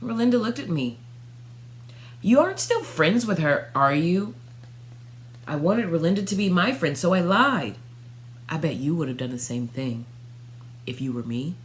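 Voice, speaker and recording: A young woman reading a children's storybook aloud, narrating expressively in the first person with dramatic pauses. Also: fairly low pitch, lively intonation. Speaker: storybook reader. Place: small furnished room at home.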